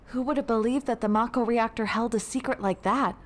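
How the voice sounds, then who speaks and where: An actress playing an earnest, gentle young woman companion in a video game. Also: a little high, fairly even intonation, fairly quick, lightly acted. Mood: surprised.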